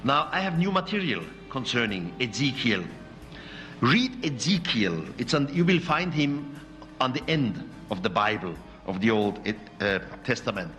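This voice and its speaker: Man, swiss german accent, lively rising and falling voice, lecture in a reverberant hall. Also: medium pitch, unhurried. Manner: accented, searching English with slips. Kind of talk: public lecture. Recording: hall recording with faint music.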